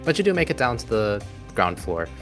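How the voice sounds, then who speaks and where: A man narrating a tabletop game as game master, improvising casually and haltingly. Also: lowish voice, big pitch swings, a bit bright, soft background music.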